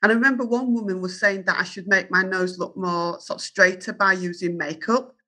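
Yorkshire woman, fifties, journalist guest, earnest and self-assured, tense bright tone. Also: mid-range voice, fairly even intonation, fairly quick. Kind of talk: candid interview answers.

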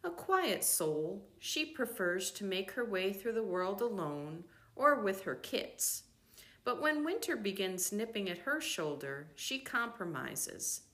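An older woman narrates from a book at a slow pace. Her voice sits at a middle pitch for a woman, and she sets out each phrase deliberately, leaving pauses between them. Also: vocal fry, fairly bright tone. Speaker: reader of a book. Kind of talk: scripted storybook narration, read aloud.

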